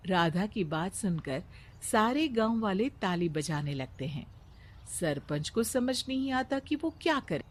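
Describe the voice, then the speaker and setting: Calm woman narrating a children's story. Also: mid-range voice, unhurried.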